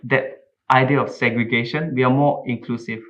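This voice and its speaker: A man's voice: medium pitch, steady tone, a little fast, fairly bright tone. A man shares personal stories as a guest on a panel. His delivery is casual, and the sound is a touch dull. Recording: slightly dull, muffled sound.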